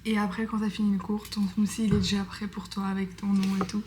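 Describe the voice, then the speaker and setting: A woman's voice: mid-range voice, flat delivery, quick pace. A woman, chatting casually in a vlog with lots of fillers. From Belgium. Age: 20s. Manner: chatty, many fillers, enthusiastic. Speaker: vlogger. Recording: echoey room with faint noise.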